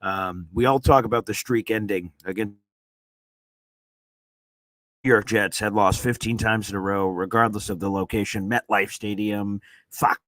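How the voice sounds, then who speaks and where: A man whose voice is nearly gone from screaming hosts a live sports reaction stream from a hotel room. He talks in an excited, rambling way, full of "uh"s and restarts. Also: fairly low pitch, measured pace, pauses often, no echo, low-quality recording. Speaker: sports reporter and livestream host. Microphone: streaming setup on weak hotel wifi.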